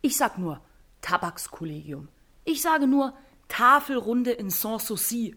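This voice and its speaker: A woman's voice: on the high side, sing-song, unhurried, sharp tone. A woman giving opinionated, colloquial commentary with emphatic, sardonic phrasing.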